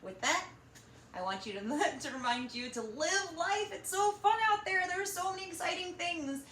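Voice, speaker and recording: A woman hosting a children's storytime, reading and chatting in an animated, encouraging way straight to young listeners. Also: very high voice, normal speed. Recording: roomy echo, slight background noise.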